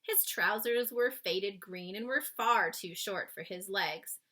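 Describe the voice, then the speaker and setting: Bright-voiced woman reading a children's story aloud. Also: mid-range voice. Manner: expressive, dramatic pauses, addresses young listeners.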